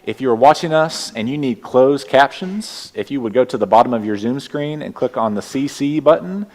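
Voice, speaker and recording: A man with a Texas accent leading a church service, welcoming people and giving opening words in a gentle, unhurried way. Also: medium pitch, expressive. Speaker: worship leader. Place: church sanctuary.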